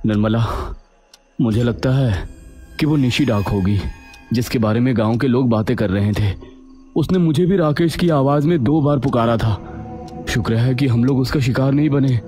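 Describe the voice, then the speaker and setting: A man's voice: mid-range voice, normal speed, mellow, soft background music, natural voice. A man playing a resolute, commanding undercover police inspector in a story. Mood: uneasy.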